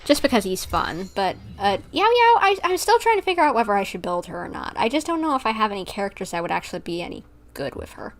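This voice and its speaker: A woman livestreaming a game with casual, chatty commentary and an excitable manner. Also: medium pitch, fast.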